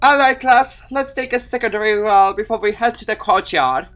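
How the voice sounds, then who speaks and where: A man voicing a brisk, exasperated schoolteacher announcing things to a class in a visual novel game. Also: high voice, fairly bright tone, highs cut off, faint music behind, pitched above the performer's own voice.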